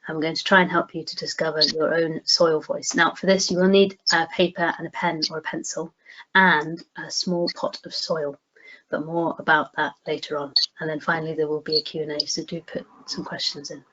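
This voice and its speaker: A woman from the West Highlands of Scotland giving a prepared online presentation over a video call. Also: little pitch movement, normal speed. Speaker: presenter, playwright. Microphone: video call microphone. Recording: dull video call with background noise.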